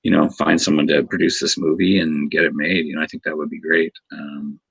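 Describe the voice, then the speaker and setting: A man being interviewed as a martial arts instructor, talking earnestly and haltingly, often repeating words as he searches for them. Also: extremely low pitch, flat delivery, creaky, a bit bright, slightly rough, no echo, dull audio. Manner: earnest and grateful. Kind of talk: casual interview talk.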